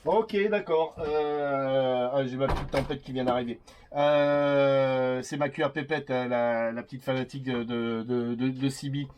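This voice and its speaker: A man's voice: mid-range voice, steady tone, a little slow, darkish tone, slight background noise. A man in a casual CB radio chat, speaking politely and hesitantly as he circles round his point. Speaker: CB radio operator.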